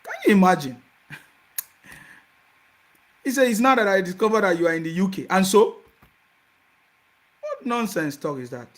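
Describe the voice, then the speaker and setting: High-voiced call-in host. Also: male voice, expressive, normal speed, long pauses. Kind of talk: phone-in talk show.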